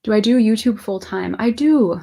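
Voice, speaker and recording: Rambling young woman, slightly high voice. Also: animated, moderate pace, vocal fry, dark tone. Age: 20s. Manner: rambling, half-distracted, thinking aloud. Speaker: YouTuber answering live viewer questions. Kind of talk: casual livestream chat. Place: room at home with bookshelves.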